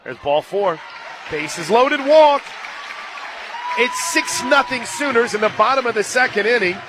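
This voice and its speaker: A man doing live radio play-by-play of softball, conversational between pitches and excited on the action. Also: measured pace. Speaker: play-by-play announcer. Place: radio booth at an outdoor ballpark. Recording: crowd and stadium music behind. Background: crowd, loudspeaker music.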